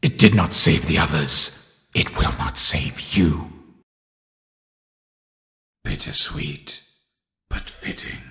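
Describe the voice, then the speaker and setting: A man voicing a menacing undead killer from a horror game, theatrical and deliberate, with a gravelly voice that turns breathy at times. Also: very deep voice, sharp tone, full character voice. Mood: quiet intrigue. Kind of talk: horror game villain voice lines.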